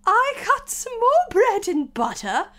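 Woman voicing a nervous little man, very high pitched, quavering, tearful, swooping intonation, pleading storybook character. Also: wildly swooping pitch, slow pace, pushed and bright, full character voice. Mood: wretched. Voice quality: trembling, tearful. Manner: stammering, pleading.

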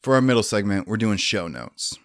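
A man's voice: on the low side, big pitch swings, brisk, no room sound, studio quality. A man co-hosting a comedy podcast in casual chat, joking wryly and with mock boasts. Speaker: podcast co-host.

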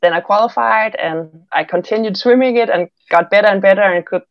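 A woman's voice: medium pitch, brisk, dry recording, dull audio. A woman from Denmark with a Danish accent, speaking English in a casual interview, reflective and prone to restarting her sentences. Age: 30s. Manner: reflective, with frequent restarts and laughs. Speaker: guest, former Olympic swimmer.

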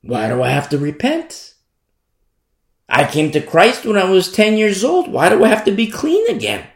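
A man preaching a sermon in an animated, repetitive style, acting out the people he criticizes. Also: sits high, moderate pace, gravelly. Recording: echoey room sound.

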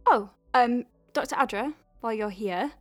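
A woman plays a friendly space-clinic doctor with a slightly high voice. She talks in a wry, hesitant, natural way, as in an audio drama. The sound is close and dry, with music underneath. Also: moderate pace, dry recording, lightly acted. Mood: sheepish, wry.

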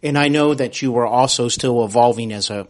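Man, medium pitch, podcast interviewer, conversational, reflective, hesitant phrasing. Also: brisk, a little hiss or hum.

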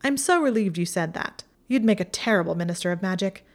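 A woman's voice: medium pitch, expressive, brisk, natural voice. A woman voicing a haughty, mocking young witch who talks down to others in a condescending tone. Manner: condescending, sneering.

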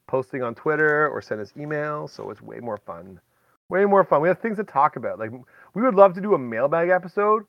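A man hosts a podcast in a loose, rambling, chatty way, sprinkling in folksy exclamations as he addresses his listeners. His voice sits at a middling pitch. The sound is close and dry, with a slightly dull, lo-fi muffle. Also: dry recording. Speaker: podcast host. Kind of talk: casual, rambling podcast chat.

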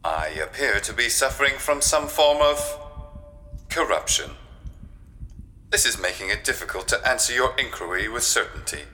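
An actor playing a cold, literal, resentful spaceship computer in a science fiction audio drama, with stilted, formal speech. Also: male voice, mid-range voice, expressive, moderate pace, bright, forceful tone. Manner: stilted, formal, refers to himself in third person. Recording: long reverb, faint noise.

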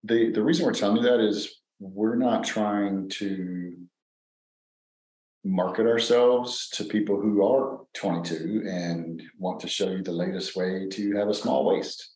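A man in his fifties with a fairly low voice talks loosely in a casual podcast chat, rambling and stopping on plenty of fillers. The room around him has a noticeable echo. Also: a little slow. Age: 50s. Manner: relaxed, rambling, with many fillers. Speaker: podcast co-host. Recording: echoey room.

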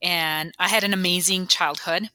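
A woman in her forties from Bosnia, recounting personal memories as an interview guest in a halting, reflective way. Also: on the low side, fairly even intonation, pushed and bright, no room sound, low-quality recording. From Bosnia (former Yugoslavia). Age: 40s.

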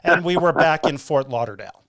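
A man from Connecticut hosts a casual interview in a high, light voice. He is chatty and playful and jokes easily with his guest. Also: expressive, fairly quick, fairly bright tone, poor audio. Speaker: podcast host.

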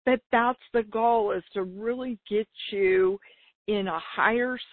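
A woman with a slightly raspy voice, talking informally and enthusiastically as the solo host of a business podcast. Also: medium pitch, a little slow, no room sound. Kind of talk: upbeat solo podcast talk. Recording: dull lo-fi radio-like sound.

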